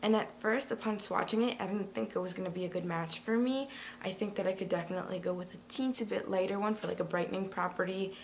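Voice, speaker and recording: A woman reviewing a product in a casual, chatty way, talking straight to her viewers, with a slightly raspy early-morning voice. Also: medium pitch, fairly even intonation, fast, a little hiss or hum, rough recording. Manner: chatty, talks to viewers directly. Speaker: beauty vlogger.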